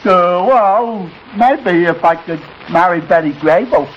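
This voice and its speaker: An actor playing a dim-witted, hesitant tavern regular in a 1940s radio comedy. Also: male voice, high voice, slow. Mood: dopey, earnest. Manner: doltish, hesitant. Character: Finnegan, dim-witted, slow-thinking male tavern regular. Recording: muffled, noisy 1940s radio with audience.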